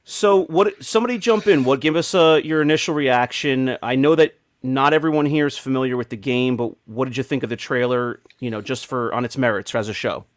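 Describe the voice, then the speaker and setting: A man's voice: mid-range voice, a little fast, hardly any pauses, no echo. A man hosting a casual panel discussion. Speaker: podcast host.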